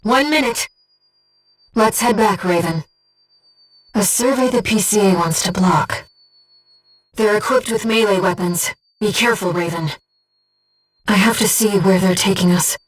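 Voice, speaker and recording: A woman voicing a gentle, disembodied female being who guides a pilot in a video game, speaking in a composed, earnest and precise way. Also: fairly low pitch, distant mic, poor audio. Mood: urgent, alert. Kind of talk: video game mission support voice acting.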